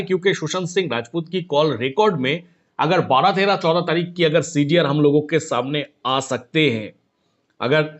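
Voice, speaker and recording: Insistent man, higher-pitched voice, dull recording. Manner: insistent, repeats points with rhetorical questions. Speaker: online commentator. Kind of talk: opinion commentary to viewers. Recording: dull, lo-fi sound.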